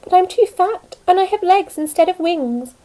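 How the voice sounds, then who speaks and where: A woman's voice: very high voice, expressive, moderate pace, mellow, pitched above the performer's own voice, poor audio. A woman voicing a wistful, hungry little male caterpillar in a children's storybook. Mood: longing. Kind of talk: children's storybook read aloud.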